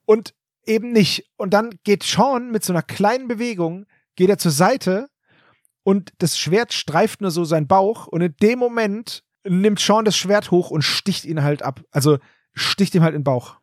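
A man's voice: sits high, normal speed, no echo, studio quality. A man co-hosting a podcast, casually discussing an audio drama in an emphatic, opinionated, colloquial way. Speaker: podcast co-host.

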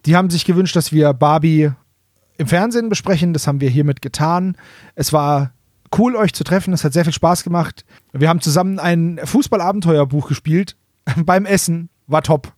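Male podcast co-host, slightly high voice, dry recording, ironic and playful, chatty delivery. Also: moderate pace, darkish tone, dry recording. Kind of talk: casual chat.

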